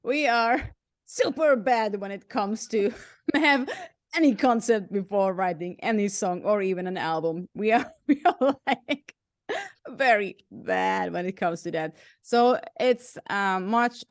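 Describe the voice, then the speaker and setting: A woman's voice: medium pitch, unhurried, no room sound, studio quality. A woman from Hungary with an English accent mixed from Hungarian and German, chatting as an interview guest, laughing often and saying "like" a lot. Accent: mix of Hungarian and German. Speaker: guest musician. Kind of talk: casual interview chat.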